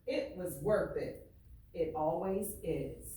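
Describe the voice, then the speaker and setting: A woman teacher speaks slowly and deliberately, repeating phrases and questions for emphasis as she addresses a class. Her voice comes from a distance in a very echoey room, with faint noise. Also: lowish voice, expressive, mellow. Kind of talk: read-aloud and class questioning. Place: indoor classroom.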